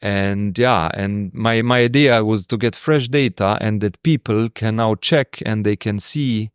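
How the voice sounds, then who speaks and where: A man from Slovenia chats as a guest on an interview podcast, pausing often and restarting his sentences. His voice sits low and sounds smooth and resonant. The sound is dry and close. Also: no room sound. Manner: hesitant, many fillers and restarts. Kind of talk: casual interview chat.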